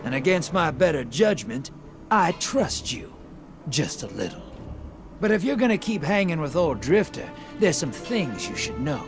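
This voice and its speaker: A sly, streetwise survivor talks like he is letting you in on a scheme. His pitch swings with folksy, slangy swagger, in video game style over clear background music. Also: very animated, a little slow. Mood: chummy, confiding. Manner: drawling slangy swagger, conspiratorial. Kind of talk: video game character dialogue.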